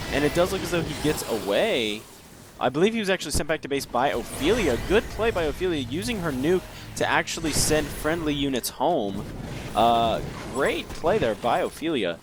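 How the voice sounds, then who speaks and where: Joking man casting a live game. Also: mid-range voice. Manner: joking, teasing co-caster. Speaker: esports caster.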